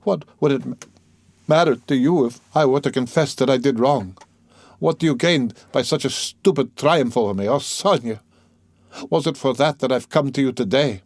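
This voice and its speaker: A man voicing an anguished, bitter young murderer in a dramatic audiobook reading, agitated, brooding and halting as he confesses. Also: mid-range voice, darkish tone, no room sound, voice pushed up, lightly acted. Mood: bitter, urgent. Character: anguished, guilt-ridden young male murderer confessing, bitter and self-loathing.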